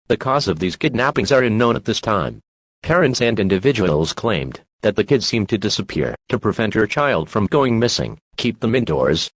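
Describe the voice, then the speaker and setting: A man reading a police emergency message in a flat, mechanical monotone. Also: medium pitch, dry recording. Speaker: emergency alert announcer.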